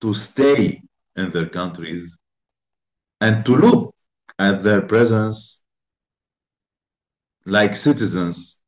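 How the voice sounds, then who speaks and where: Slow, deliberate man giving a formal talk. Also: lowish voice, echoey room, rough recording. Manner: slow, deliberate, emphatic delivery in phrases. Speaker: invited speaker.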